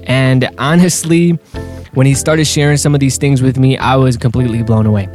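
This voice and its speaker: A man hosting a faith podcast, talking earnestly and a little haltingly with fillers and restarts. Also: mid-range voice, steady tone, darkish tone. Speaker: podcast host. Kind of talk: casual podcast intro. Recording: clear guitar music underneath.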